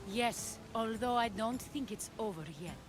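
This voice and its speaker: Woman acting a composed, measured female advisor. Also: a little high, over music, natural voice. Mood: easy, wry. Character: composed, worldly adult female advisor in ancient Greece. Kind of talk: video game cutscene acting.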